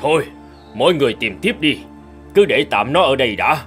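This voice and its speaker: A man playing a careful archaeologist leading a dig in an animated fairy tale, with an instructive manner. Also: mid-range voice, brisk, faint music behind, natural voice. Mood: directing.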